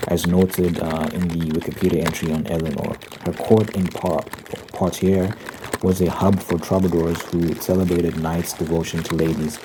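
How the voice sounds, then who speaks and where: Male ASMR host, deep register, measured opinionated delivery, noisy recording with typing and crinkling. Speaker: host of an ASMR rambling channel. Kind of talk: ASMR ramble with reading aloud.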